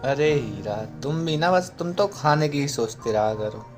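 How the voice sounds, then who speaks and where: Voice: medium pitch, lively intonation, unhurried, music in the background, lightly acted. An actor playing a sensible, chiding young village boy in a children's cartoon story. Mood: teasing.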